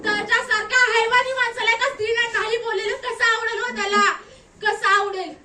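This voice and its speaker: A woman's voice: very high voice, flat delivery, fairly quick. A woman playing an anguished, defiant young acid attack survivor in a melodramatic, tearful theatrical monologue, repeating her phrases. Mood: anguished, accusing. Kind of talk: theatrical one-act stage monologue. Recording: echoey room, distant mic, noisy.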